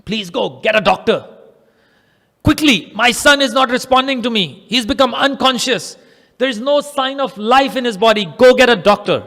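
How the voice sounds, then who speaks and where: A man's voice: very high voice, normal speed, gravelly, pushed and bright, pitched above the performer's own voice, heavily acted. A man voicing a frantic, worried mother in a devotional tale, urgent and pleading. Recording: reverberant hall.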